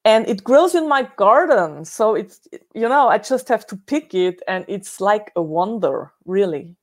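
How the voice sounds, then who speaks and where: A woman's voice: mid-range voice, expressive, unhurried. A woman hosting a casual conversational interview in a chatty, effusive way.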